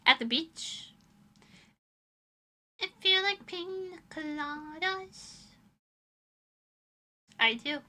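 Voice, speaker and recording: A woman from Europe chatting casually on a livestream in an animated, dramatic manner. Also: high voice, very slow, pauses often. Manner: animated, dramatic exaggeration, swearing. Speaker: livestreamer, VTuber.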